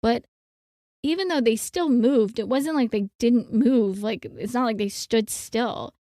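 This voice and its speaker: Chatty woman co-host, high voice. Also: unhurried, warm tone, no room sound, studio quality. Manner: chatty, rambling with many fillers. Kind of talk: casual chat.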